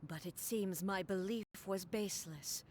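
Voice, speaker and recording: A woman's voice: medium pitch, darkish tone, close to an everyday voice. Video game role: stoic immortal warrior played by a woman, commanding and formal, mid-range voice, noise behind. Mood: solemn, composed. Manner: formal, measured, stately delivery. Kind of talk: dramatic video game voice acting.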